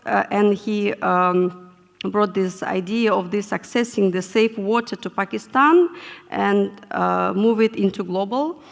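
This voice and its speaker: Woman moderator, gravelly edge, formal and hesitant, reverberant hall. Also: medium pitch. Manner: measured, with frequent hesitations. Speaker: panel moderator. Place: conference hall. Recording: echoey conference hall.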